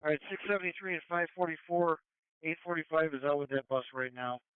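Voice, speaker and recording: A man's voice: fairly high pitch, quick pace, smooth, no echo. A man working as a police dispatcher, giving terse calls over a two-way radio. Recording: tinny narrowband scanner radio audio.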